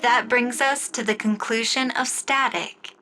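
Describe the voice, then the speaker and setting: A woman narrating and announcing in the style of a 1940s radio drama, with measured storytelling. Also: fairly high pitch, steady tone, bright, forceful tone, low-quality recording. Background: music score.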